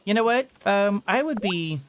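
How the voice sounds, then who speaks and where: A gaming video host giving casual let's-play commentary, thinking out loud in fragments with playful asides. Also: mid-range voice, expressive, normal speed, a little hiss or hum.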